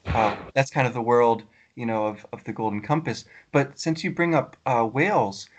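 A man's voice: medium pitch, normal speed, rough recording. A man hosting an interview, asking questions hesitantly with many ums and uhs. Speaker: podcast host.

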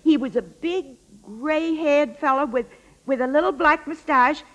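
A woman's voice: high-pitched, measured pace, fairly bright tone. A woman playing a proud, gossipy old landlady and faded showgirl in a radio drama, with folksy, rambling speech. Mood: gossipy, agitated. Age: older.

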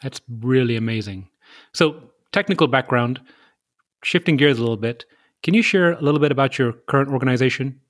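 A man from Southeast London hosts an interview podcast. He reads his guest's introduction and then asks questions in an easy, conversational manner. His voice sits at a middle pitch. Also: a little fast. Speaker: podcast host.

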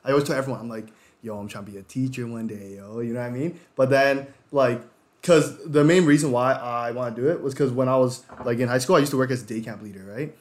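A man's voice: medium pitch, quick pace. A man in his twenties, a podcast guest in casual chat, talking in a laid-back slangy way and often tacking on "yo".

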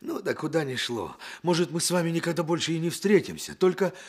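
An actor playing a roguish young thief in a radio play, colloquial and wheedling. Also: male voice, on the high side, brisk, breathy edge. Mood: earnest pleading. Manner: wheedling, colloquial, theatrically expressive. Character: roguish young male Odessa thief, cheeky yet pleading. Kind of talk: Soviet radio play acting.

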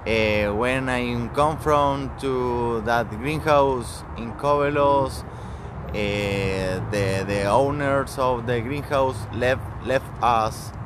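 A man from Chile with a Chilean Spanish accent, speaking halting English with many restarts as an interview guest. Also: mid-range voice, background noise.

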